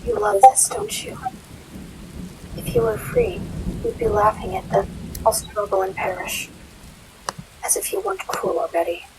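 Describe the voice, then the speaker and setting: A woman's voice: extremely high pitch, wildly swooping pitch, a little slow, creaky, pauses often, heavily acted. A woman voicing an angry, regal pony princess in an amateur fan-made audio drama, seething and muttering dramatically. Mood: bitter, scornful. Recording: hissy home recording with rain and thunder.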